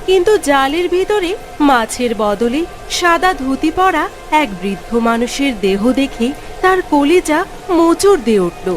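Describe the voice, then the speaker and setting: A woman's voice: sits high, expressive, a little slow. A woman reading scripted narration for a cartoon moral story. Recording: music behind the voice.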